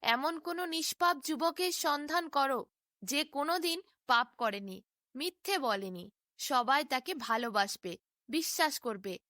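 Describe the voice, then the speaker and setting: A woman's voice: high-pitched, expressive, measured pace, pushed and bright, no room sound, studio quality, exaggerated voice. A woman voicing a stern male holy hermit who admonishes and commands in a storybook tale. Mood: commanding.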